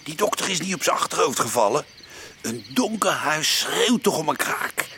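An actor playing a brash, impatient burglar in a Dutch radio play, gruff and scolding, with coarse slangy talk. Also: male voice, a little high, big pitch swings, moderate pace, sharp tone, full character voice. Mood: scornful, urging. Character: bossy, brash, impatient burglar leading a break-in. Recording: noisy old radio play recording.

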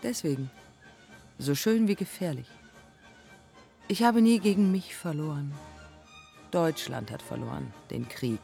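An actress playing a proud, embittered dead woman aviator in a poetic radio play, speaking in terse, measured fragments. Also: low-pitched, expressive, creaky, dark tone, faint music behind, full character voice. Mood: bitter, reflective. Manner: measured, terse, fragmented phrasing with deliberate pauses.

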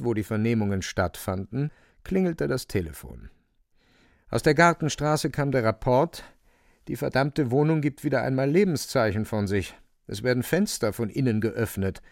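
A man narrates a literary radio play at a mid pitch, very close and dry. His storytelling is measured and precise. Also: no echo. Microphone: close studio microphone.

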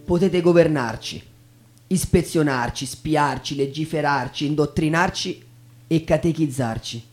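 A man declaims a stage monologue. His voice is fairly high for a male, and he lands each phrase emphatically, as if counting through a list. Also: measured pace, a little mellow, soft background music. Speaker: stage performer. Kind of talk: theatrical spoken monologue.

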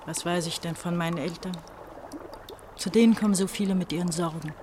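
Actress as anguished teenage runaway, some background noise. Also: female voice, lowish voice, steady tone, natural voice. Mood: brooding. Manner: brooding, halting monologue with repeated phrases. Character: anguished eighteen-year-old pastor's daughter on the run. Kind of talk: German radio drama acting.

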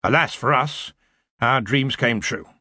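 A man's voice: a little slow, sharp tone, slightly rough, no room sound, clean studio sound. A man voicing an old, imperious university wizard in an audiobook, with a weighty, commanding manner. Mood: sad, wistful. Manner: booming, imperious. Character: old blustering male wizard, head of a university.

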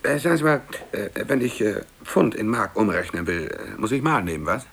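Low-voiced, harried young office clerk. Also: male voice, big pitch swings, fairly quick, fairly bright tone, slight background noise. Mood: dry, detached. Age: young adult. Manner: stammering, muttering, curt. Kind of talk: 1950s German radio play acting.

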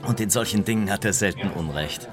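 A man plays a worldly, teasing gentleman for radio drama. His voice sits low, urbane and full of dry irony. Music plays clearly behind him. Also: fairly quick, close to an everyday voice. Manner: urbane, ironic, aphoristic. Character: worldly, cynical English gentleman, suave and teasing.

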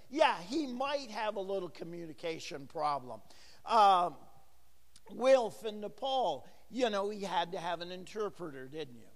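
A middle-aged male pastor preaching in a church, his fairly high voice ringing out through a long, echoing reverb. He takes his time, speaking slowly, and his delivery stays conversational, peppered with asides and rhetorical questions. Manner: conversational, jokes with the congregation, asks rhetorical questions. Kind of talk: sermon. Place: church sanctuary. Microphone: handheld or lapel microphone, recorded for a booth.

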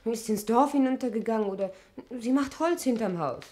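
An actress playing a weary young governess, complaining yet peace-loving, in a 1960s German radio play. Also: female voice, a little high, brisk, a little mellow, lightly acted. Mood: curious, puzzled.

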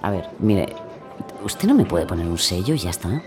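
A frustrated woman stuck in red tape, in naturalistic radio fiction. She is hesitant and over-polite with simmering exasperation, and music plays clearly behind her. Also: very deep voice, sing-song, moderate pace. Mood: flustered, exasperated. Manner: hesitant, apologetic, muttering in frustration. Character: exasperated, polite young female citizen battling bureaucracy.

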